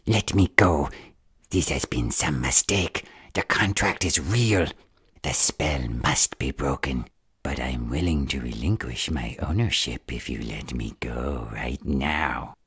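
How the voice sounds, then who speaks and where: A gremlin villain in an audio roleplay, with an extremely low and badly rasping voice. The creature is whiny and menacing, pleading one way and threatening the other. It talks slowly. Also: male voice, fairly bright tone, no echo, studio quality, exaggerated voice. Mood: pleading, indignant. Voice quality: husky, gravelly rasp. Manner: pleading, threatening, self-justifying. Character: menacing, whiny male gremlin stalker held captive.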